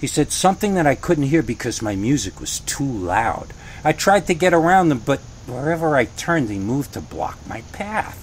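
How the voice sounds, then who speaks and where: Man narrating a scary story over noise. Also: on the high side. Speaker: horror story reader.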